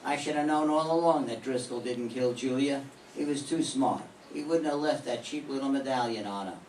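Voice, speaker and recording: An older man playing a wry, gruffly affectionate private detective in an old radio drama. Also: medium pitch, normal speed, darkish tone, natural voice. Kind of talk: 1940s radio detective acting. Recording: roomy, distant mic, faint noise.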